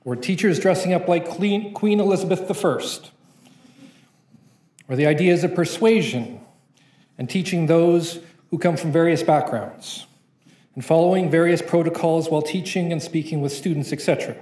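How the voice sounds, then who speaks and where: A young man reads his spoken-word poem aloud from notes at a podium mic. His voice sits slightly high, and his delivery is rhythmic and playful. The conference room around him is echoey. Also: normal speed, a little mellow. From Canada. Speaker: poet.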